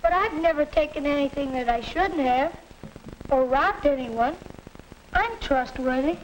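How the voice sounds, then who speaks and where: A man's voice: very high-pitched, measured pace. A young male actor playing an earnest schoolboy son in a 1950s educational film, plain-spoken, on a crackly old soundtrack. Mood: puzzled, earnest.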